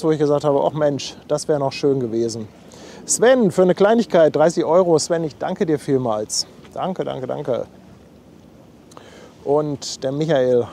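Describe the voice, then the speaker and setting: Male livestream host, chatty and relaxed, reads chat aloud, soft mellow tone, faint harbor noise behind. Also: a little high. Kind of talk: casual live commentary. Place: outdoors at a harbor.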